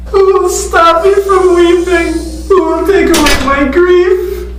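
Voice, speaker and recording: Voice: very high voice, steady tone, slow pace, background noise. An actor playing a widowed mother who wails dramatically over her grief, in an amateur stage play. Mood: grieving. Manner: mournful, dramatic. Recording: echoey room, distant mic.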